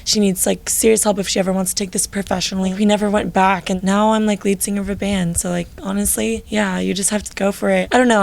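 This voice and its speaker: A young woman in her late teens, lead singer of a band, with a low, raspy voice, chatting casually with lots of filler likes in an interview. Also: a little mellow.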